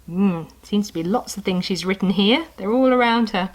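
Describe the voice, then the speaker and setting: Expressive woman reading a children's story. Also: mid-range voice, slight background noise, low-quality recording. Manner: expressive, conversational, unhurried. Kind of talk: children's story read aloud with asides to the listener.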